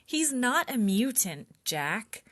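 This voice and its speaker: A woman's voice: on the high side, sing-song, slow pace, a bit bright, pitched above the performer's own voice, exaggerated voice. A woman voicing a bold, friendly, trusting little girl in a children's storybook, eager and insistent. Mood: earnest. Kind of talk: children's storybook character reading.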